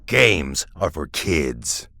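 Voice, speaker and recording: A gruff, dismissive brawler for an audio drama. The voice is extremely low and thick with gravel, doled out slowly in curt bites over prominent music. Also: male voice, very animated, full character voice. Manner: curt, laconic. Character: gruff, dismissive male mutant fighter.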